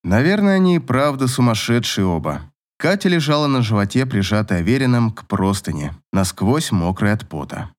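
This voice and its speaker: A man's voice: medium pitch, very animated, moderate pace. A man reading scripted narration from a romance novel as an audiobook narrator.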